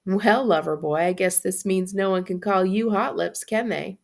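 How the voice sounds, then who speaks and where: A woman's voice: mid-range voice, normal speed, vocal fry, close to an everyday voice. A woman voicing an easily amused father in his thirties, teasing and jovial, in a storybook. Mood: mocking. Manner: jovial, mocking, drawn to jokes.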